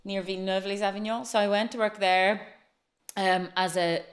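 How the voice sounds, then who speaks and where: A woman's voice: medium pitch, fairly even intonation, moderate pace. A woman in her late forties, a podcast guest telling her life story in a chatty, flowing way. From Northern Ireland. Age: late 40s. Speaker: interview guest. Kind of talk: casual podcast interview.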